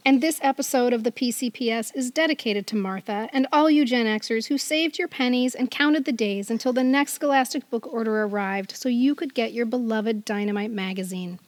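A middle-aged woman with a high voice co-hosts a casual podcast. She plays quizmaster in an animated, joking way, keeping the delivery playful and conversational. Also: moderate pace, hardly any pauses, no room sound.

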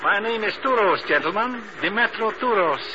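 An actor playing a courteous, sly stamp collector in a 1950s radio drama, ornately polite and self-assured. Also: male voice, high voice, animated, normal speed. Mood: unctuous. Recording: noisy, muffled old radio recording.

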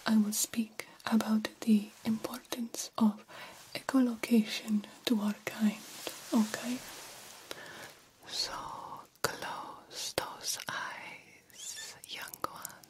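A woman whispering an ASMR roleplay as a vampire doctor, breathy, deliberate and gently instructive. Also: on the high side, flat delivery, poor audio. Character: female vampire doctor tending a young patient. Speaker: ASMR performer.

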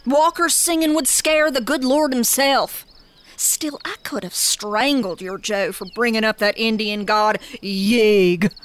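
An actress playing a tender, wry young frontier wife with a folksy rural Southern American accent in a radio drama. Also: female voice, on the high side, unhurried, close to an everyday voice. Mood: wry, exasperated. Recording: outdoor scene with faint cricket ambience.

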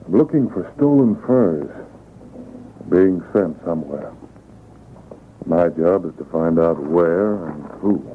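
Deep-voiced, steady radio-drama Mountie. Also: male voice, slow pace, long pauses. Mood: probing. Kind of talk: 1940s-50s radio drama acting. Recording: tinny, noisy vintage radio recording.

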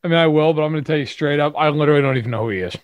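A man's voice: fairly high pitch, racing, no echo. A man with a Brooklyn accent co-hosting a podcast, blunt and emphatic in casual chat. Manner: blunt, emphatic, self-mocking. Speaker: podcast co-host.

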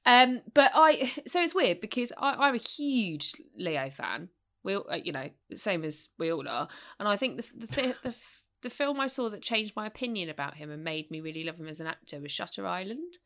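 A young woman co-hosting a casual podcast, rambling and hesitant, with animated asides. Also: medium pitch, moderate pace, few pauses. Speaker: podcast co-host. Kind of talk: casual chat.

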